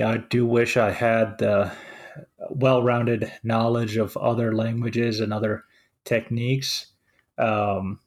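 A man in his forties from Finland, co-hosting a casual podcast chat and speaking hesitantly with many fillers and restarts. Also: lowish voice. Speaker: co-host.

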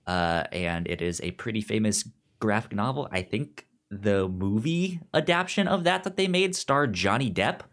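A man's voice: fairly low pitch, expressive, normal speed, fairly bright tone. A man co-hosting a podcast, giving recommendations in casual conversation, often repeating and restarting his words. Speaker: podcast co-host.

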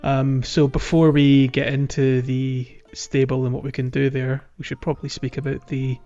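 A man's voice: medium pitch, little pitch movement, moderate pace, warm tone, highs cut off. A man hosting a casual podcast chat about a video game, talking in a chatty, informal way. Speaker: podcast host.

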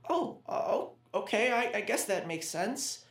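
A woman's voice: medium pitch, lively intonation, unhurried. A woman playing a timid but eager twelve-year-old boy in an audio drama, speaking hesitantly with frequent stammers. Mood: uncertain, nervous. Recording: roomy, echoing sound.

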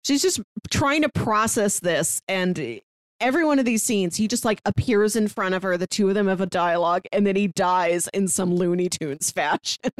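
A woman's voice: medium pitch, normal speed, no room sound. A woman co-hosting a podcast, recapping and commenting on a film in a casual, conversational style. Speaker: podcast co-host.

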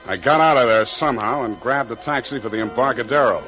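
An actor playing a wry, hard-boiled private detective, wisecracking in 1940s radio noir style. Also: male voice, medium pitch, fast talker, lightly acted. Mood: wry, narrating. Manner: deadpan, wisecracking. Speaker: narrator and lead. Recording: dull old radio recording, music bed.

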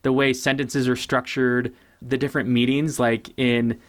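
A man being interviewed as a guest on a podcast, speaking casually and thoughtfully, repeating words and slowing down to stress key points. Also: medium pitch, little pitch movement. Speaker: podcast guest, branding expert.

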